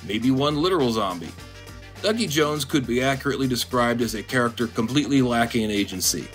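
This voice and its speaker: Deadpan man with a monotone voice. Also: mid-range voice, brisk, soft background music. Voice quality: monotone delivery. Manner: dry, deadpan. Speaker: video essayist. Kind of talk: scripted commentary.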